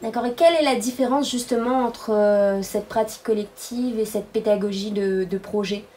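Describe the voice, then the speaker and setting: A woman's voice: medium pitch, steady tone, moderate pace, dark tone, distant mic. A woman acting as interviewer, putting informational questions to a guest.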